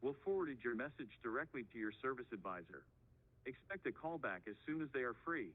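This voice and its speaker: A man's voice: mid-range voice, expressive, brisk. A man giving scripted automated phone system prompts, heard over a telephone. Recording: narrow, tinny phone line.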